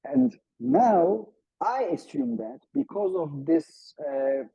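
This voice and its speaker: A man's voice: a little high, animated, takes it slowly, creaky, husky, no echo. A man with a Turkish accent, a native Turkish speaker, giving an earnest seminar talk over a video call, hesitant and full of filler sounds. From Turkey. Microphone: video call microphone. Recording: dull, lo-fi video call audio.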